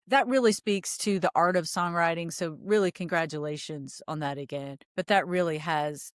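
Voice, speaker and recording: A woman's voice: fairly low pitch, moderate pace, no room sound. Rambling woman podcast host, dry close sound. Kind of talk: casual conversational interview.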